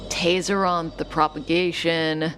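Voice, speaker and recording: An actress playing a cool, enigmatic, teasing female schemer in a video game, with a languid, softly sardonic delivery and a velvety voice. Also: low-pitched, little pitch movement, measured pace, a little hiss or hum, poor audio. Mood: calm, storytelling. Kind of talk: scripted video game character dialogue. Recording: studio voice over game music.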